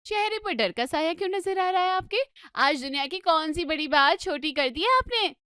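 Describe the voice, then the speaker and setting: A woman puts on a cheeky young daughter for a comedy drama. The voice is very high, with a playful, mocking lilt, and the sound is dry. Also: lively intonation, moderate pace, pushed and bright, dry recording, heavily acted. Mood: teasing. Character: cheeky teasing twenty-two-year-old daughter. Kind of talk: Hindi comedy story dramatisation.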